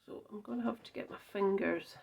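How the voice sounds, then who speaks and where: A woman's voice: medium pitch, a little fast, vocal fry, a little mellow, slightly rough. A Scottish woman chatting through a card-making demonstration, thinking aloud with frequent ums and small self-corrections. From Scotland. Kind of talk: casual crafting demonstration.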